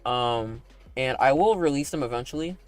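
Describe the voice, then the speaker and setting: A man with a slightly raspy, deliberately deepened voice talks to his viewers in a loose, rambling way, full of likes and tag questions. His pitch sits in the middle range for a man. Faint music plays underneath. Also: lively intonation. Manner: talking in a put-on broadcast voice. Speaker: animator and streamer. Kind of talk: casual livestream chat while playing a game.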